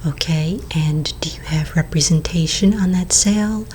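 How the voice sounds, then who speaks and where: A woman voicing a courteous vampire real estate agent in a soft-spoken, mostly whispered ASMR roleplay, polite, unhurried and attentive. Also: low voice, a little hiss or hum, close to an everyday voice. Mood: soothing, helpful.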